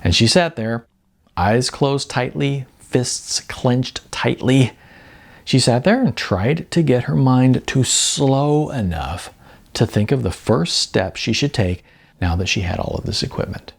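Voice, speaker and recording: A man who is an author reading his own novel as audiobook narration, with expressive emphasis and drawn-out words. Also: mid-range voice, gravelly.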